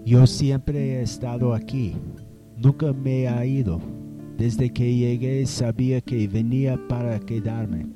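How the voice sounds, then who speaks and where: Slow, courtly old settler played by a man. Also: medium pitch, steady tone, music in the background, natural voice. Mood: solemn, puzzled. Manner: slow, formal, halting. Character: proud old German settler man, revived after a century, courtly. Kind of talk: radio drama acting.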